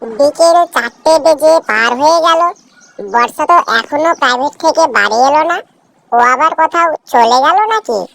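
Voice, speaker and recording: A woman's voice: sits high, normal speed, vocal fry, a bit bright, full character voice, poor audio. A woman playing an angry, dramatic village mother in a cartoon drama, complaining about her daughter. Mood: worried. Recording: music behind the voice.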